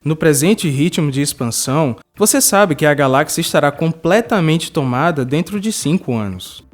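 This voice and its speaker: Animated, insistent young man played by a man. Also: on the high side, normal speed, natural voice. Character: insistent, alarmist twenty-something man of the far future. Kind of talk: audio drama acting.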